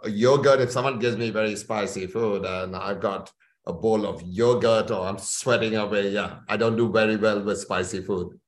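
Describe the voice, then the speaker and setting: Male executive from India, lowish voice, enthusiastic interview guest, roomy echoing sound. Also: steady tone, normal speed. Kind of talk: conversational business interview. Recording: echoey room.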